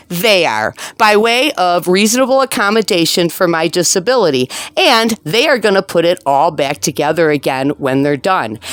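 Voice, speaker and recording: A woman's voice: mid-range voice, fairly bright tone, clear, resonant tone, no echo. A woman leaving an angry voicemail complaint as a tenant, speaking in a combative, emphatic and indignant manner with blunt profanity.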